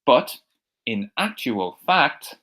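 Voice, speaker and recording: A man from Ireland with an American-sounding accent teaching English vocabulary, repeating each word slowly and clearly for learners. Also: mid-range voice, sing-song, pushed and bright. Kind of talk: recorded vocabulary lesson.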